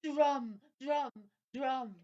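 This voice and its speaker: A woman's voice: high voice, extremely slow, highs cut off, a crowd in the background. A woman narrating a children's story in a theatrical, suspenseful style.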